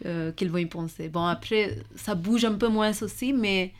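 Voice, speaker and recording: A woman's voice: medium pitch, moderate pace. A woman from India with an Indian accent, speaking French as a learned language and hesitantly searching for words as she answers in an interview. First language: not French, learned it as an adult. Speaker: visual artist and art teacher being interviewed.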